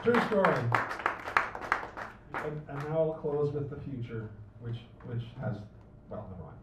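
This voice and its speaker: A man's voice: a little high, expressive, unhurried, a crowd in the background, noisy background. An older man reading his poem at a cafe open mic, chanting slogans and dropping to near whispers. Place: small cafe at night, indoors. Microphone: stand mic from a far camera. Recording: roomy, distant, dull livestream audio.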